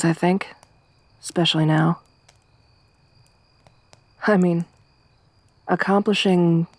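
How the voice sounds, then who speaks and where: Woman acting tough survivor girl, soft-spoken, gruff and teasing, flat delivery, lowish voice, ASMR roleplay. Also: dry recording. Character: tough, wry young woman wasteland survivor.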